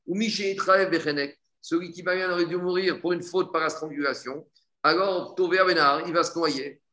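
High-voiced man teaching in an echoey room. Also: steady tone, a little fast, sharp tone. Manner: didactic, asks and answers own questions, repeats phrases. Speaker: religious teacher giving a Talmud class. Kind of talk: explanatory Talmud lesson, reading a text and translating it.

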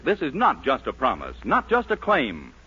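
An American man announcing in 1940s radio style, reading scripted ads and narration with polished, emphatic salesmanship and a husky voice. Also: medium pitch, huge pitch swings, normal speed, sharp tone. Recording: lo-fi vintage 1940s radio broadcast.

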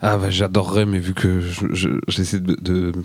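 A man's voice: low voice, flat delivery, normal speed, dry recording. A French-speaking man, a musician guest, chatting casually on a radio show and rambling with many restarts.

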